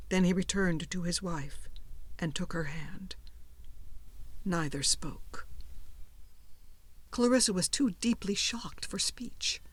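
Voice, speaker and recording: A woman reading a suspenseful horror story as an audiobook narrator, with expressive and deliberate delivery. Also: medium pitch.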